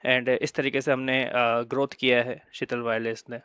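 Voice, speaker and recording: A man's voice: medium pitch, little pitch movement, moderate pace, bright, forceful tone, clean tone, no echo. An Indian man giving an informal explanatory product presentation, hesitant, mixing in English phrases and often checking in with short tag words. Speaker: company presenter of wireless communication products.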